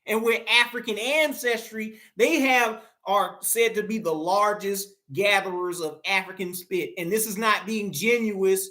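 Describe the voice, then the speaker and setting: A woman's voice: medium pitch, a little slow, hardly any pauses, fairly bright tone. A woman in a casual talk giving blunt, profane, run-on explanations. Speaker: co-host. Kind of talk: casual heated commentary.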